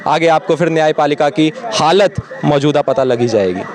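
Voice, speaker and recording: A man's voice: a little high, normal speed, a little mellow. Impassioned young man arguing at a protest. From Uttar Pradesh, India. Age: young adult. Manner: impassioned, argumentative, rhetorical questions. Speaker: student protester. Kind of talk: street interview. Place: outdoor street protest.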